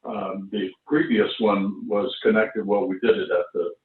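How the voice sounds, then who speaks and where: A man's voice: fairly low pitch, flat delivery, measured pace. Roomy, distant older man, tinny sound. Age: 70s. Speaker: actor recalling a stage show. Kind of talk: casual reminiscing. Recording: roomy, distant, tinny phone-like call audio.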